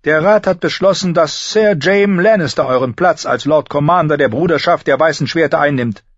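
A man's voice: fairly high pitch, normal speed, no echo, muffled recording, pitched above the performer's own voice, natural voice. A man voicing a haughty, commanding queen in an audiobook reading. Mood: imperious. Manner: imperious, cutting.

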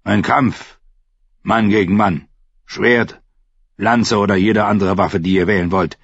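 A man voicing a scornful, defiant old knight in a slow, husky, mocking tone. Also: lowish voice, steady tone, dry recording, lo-fi sound, full character voice. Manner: contemptuous, mocking.

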